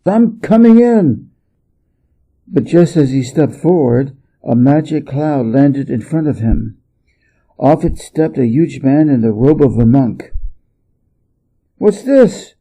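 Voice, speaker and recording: A man narrates a story from a script. His voice is middle in pitch and very mellow, with a husky grain. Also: unhurried, low-quality recording. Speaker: story reader.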